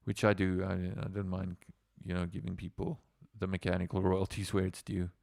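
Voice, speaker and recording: South African musician, low gravelly voice, dry sound, loose rambling storyteller. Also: male voice, little pitch movement, brisk, a little mellow, no room sound. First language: Afrikaans. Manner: rambling, with many fillers and restarts. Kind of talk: casual livestream storytelling.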